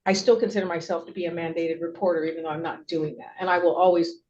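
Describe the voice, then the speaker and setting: A middle-aged woman testifies in a very even, slightly low voice. She is wordy and hesitant, backing up to correct herself, and the courtroom recording is dull with some background hiss. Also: fast. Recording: dull, noisy courtroom audio.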